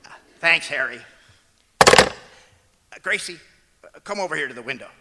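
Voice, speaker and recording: A man acting as a wry, put-upon comedian husband in an old-time radio comedy, with a dry deadpan delivery. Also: fairly high pitch, moderate pace, very noisy, natural voice. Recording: echoey auditorium, music behind.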